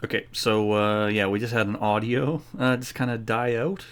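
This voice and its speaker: A man's voice: fairly low pitch, fairly even intonation, moderate pace, clean tone. A man giving casual, slangy playthrough commentary as a game streamer, reacting aloud to the dialogue.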